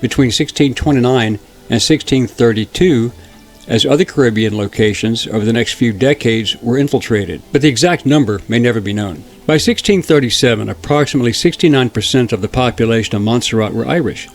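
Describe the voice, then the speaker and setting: A man narrating a historical documentary in a measured, steady reading. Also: medium pitch. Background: faint background music.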